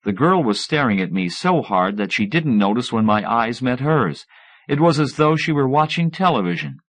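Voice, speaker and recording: A man reading scripted fiction as an audiobook narrator, in an expressive way. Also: medium pitch, moderate pace. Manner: expressive, voices characters in dialogue.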